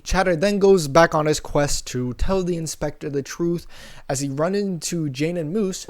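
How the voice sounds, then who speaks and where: Young male YouTube commentator, slightly high voice, casual and irreverent comedic recapping. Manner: irreverent, sarcastic, acts out characters' lines.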